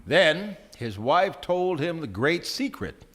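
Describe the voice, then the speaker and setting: An actor as storyteller, narrating a live radio drama with measured, theatrical phrasing. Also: male voice, fairly high pitch, sing-song, fairly bright tone, breathy edge, exaggerated voice. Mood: storytelling. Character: storyteller narrating a wry small-town tale. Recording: live stage recording before audience.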